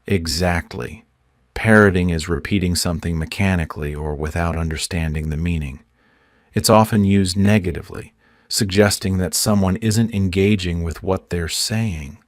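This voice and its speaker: A man hosting a podcast's vocabulary review, defining words in a measured way. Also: deep voice, no echo, studio quality. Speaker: podcast host.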